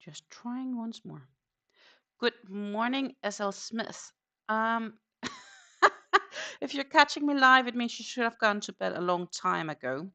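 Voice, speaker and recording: A woman's voice: on the high side, very animated, takes it slowly, pauses often, bright, forceful tone. A woman with a Danish accent chatting casually on a crochet live stream, counting her stitches aloud and answering viewers.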